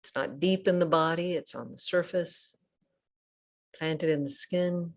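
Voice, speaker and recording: Woman meditation teacher, kinda low voice, gentle n unhurried, leaves lots of pauses between phrases. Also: steady tone. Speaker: Buddhist nun and meditation teacher. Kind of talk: guided meditation. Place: online group session over video call.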